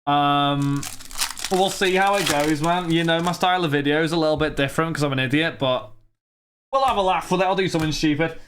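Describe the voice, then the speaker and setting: A young man in his twenties, talking loosely and chattily as he answers viewers during a live stream. His voice sits a little high for a man, and he rambles from one thought to the next. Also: fairly quick, a bit bright, a little hiss or hum. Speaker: streamer opening trading cards. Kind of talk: casual live-stream chat.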